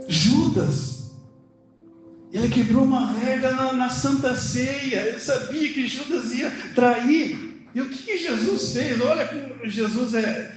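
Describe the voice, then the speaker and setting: A man's voice: very high-pitched, darkish tone. A middle-aged man with a Brazilian accent preaching a conversational sermon, emphatically asking questions and answering them himself. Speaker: preacher, church elder. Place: indoor church service, music in the background. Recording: very echoey church hall, music behind.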